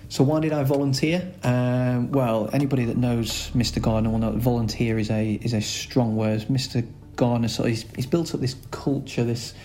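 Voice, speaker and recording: A man with a Lancashire accent, a school's director of sport, answering podcast interview questions in a chatty, rambling way with many filler pauses. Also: medium pitch, fairly even intonation, warm tone. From Lancashire, England.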